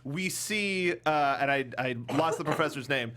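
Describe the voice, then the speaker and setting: A man hosting a casual film discussion, talking in an animated way and acting out mock quotes as he goes. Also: a little high, normal speed. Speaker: talk show host.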